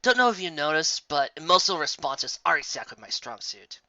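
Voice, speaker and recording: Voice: medium pitch, very animated, fairly quick, bright, forceful tone, no room sound, lo-fi sound, exaggerated voice. A reader voicing a temperamental female pegasus with a blunt, exasperated, grumbling manner in a fan story. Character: grumpy, temperamental female pegasus weather worker.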